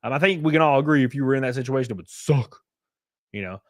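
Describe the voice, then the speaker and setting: A man's voice: mid-range voice, quick pace, no room sound, clean studio sound. A man streaming casual commentary, reading chat messages aloud and rambling with fillers, a bit sniffly. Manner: rambling, lots of fillers and restarts.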